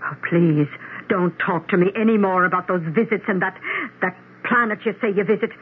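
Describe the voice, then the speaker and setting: A woman's voice: mid-range voice, fairly quick, breathy edge, lightly acted. An actress playing a plain-spoken, pious, motherly housekeeper who frets, in a 1970s radio drama. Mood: upset, fretful. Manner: homely, plain-spoken, fretful. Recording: muffled, hissy 1970s radio recording.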